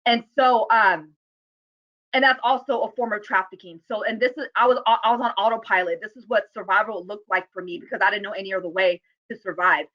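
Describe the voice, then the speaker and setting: A woman's voice: mid-range voice, a little fast. An American woman telling her own story candidly and earnestly as an interview guest on a video call. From United States. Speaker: guest speaker. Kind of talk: personal testimony in interview. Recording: dull lo-fi video call audio.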